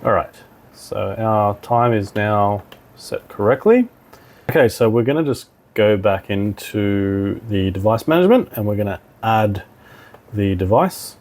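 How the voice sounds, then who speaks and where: Low, gravelly man giving a tech walkthrough. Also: animated, measured pace, a little mellow. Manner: informal, instructional, thinking aloud. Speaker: technical demonstrator. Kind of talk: software tutorial walkthrough, talking while clicking.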